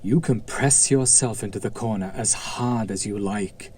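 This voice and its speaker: A man playing a smug, seductive demon in a roleplay audio drama, coaxing and condescending with deliberate pauses and a teasing menace. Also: lowish voice, a little mellow, a little hiss or hum, close to an everyday voice.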